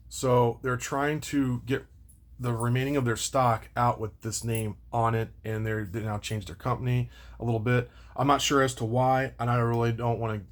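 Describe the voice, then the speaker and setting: A man hosting a casual, unscripted unboxing and review, rambling and circling back to repeat his points. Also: medium pitch. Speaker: video host doing product unboxing and review.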